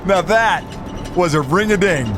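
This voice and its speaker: A woman playing a low, cocky gangster rogue. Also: unhurried, noisy background, natural voice. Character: cocky, smooth-talking male gangster rogue. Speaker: co-host and lead voice actor. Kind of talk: noir comedy audio drama acting. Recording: loud music under the voice.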